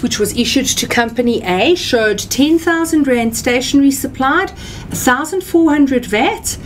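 A middle-aged woman with a South African accent gives an instructional presentation. She takes her time, with plenty of rise and fall in her pitch. There is noticeable background noise with a low rumble. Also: poor audio. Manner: measured, step-by-step, didactic. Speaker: tax seminar speaker and writer. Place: small indoor office or meeting room.

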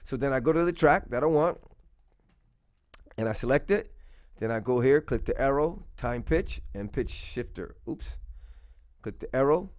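A man presents a screen-recorded production tutorial in a casual way. His voice sits at a middle pitch. He talks himself through each small step in short, informal phrases. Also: lively intonation, normal speed, long pauses, darkish tone. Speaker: audio production tutorial host, DJ drop producer.

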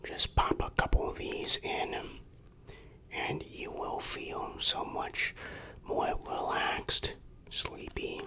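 Someone whispering in a breathy, hushed and confiding way, performing an ASMR roleplay as a shady dealer, close to the microphone. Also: slow.

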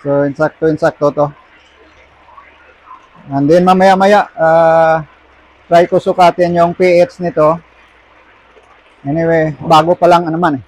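An older man with a Filipino accent giving casual, halting vlog commentary, mixing in English words. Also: fairly high pitch, little pitch movement, low-quality recording. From Philippines. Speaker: fish farmer and vlogger.

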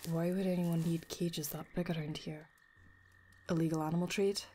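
A woman playing a concerned, soft-hearted adventurer in an audio drama, with an earnest, questioning manner. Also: on the low side, flat delivery, normal speed, a little hiss or hum, close to an everyday voice. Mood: puzzled, calm. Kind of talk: audio roleplay drama acting.

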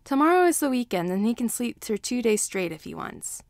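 A woman's voice: on the high side, sing-song, a little fast, dry recording, clean studio sound. A woman narrating a scripted story as a fan fiction reader.

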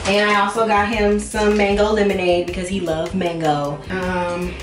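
A woman's voice: mid-range voice, level tone, moderate pace. A woman in her twenties chatting informally to viewers in slangy, playfully emphatic speech, recorded on a camera a few feet away in a home kitchen. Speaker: vlogger. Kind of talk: casual chat to viewers, grocery haul. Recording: echoey room, faint music behind.

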